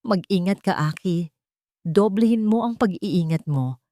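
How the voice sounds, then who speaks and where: A woman's voice: mid-range voice, animated, unhurried, mellow, no echo, studio quality, natural voice. A woman voicing a careful, grave elderly businessman, a guarded uncle figure in an audio story. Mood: guarded, warning.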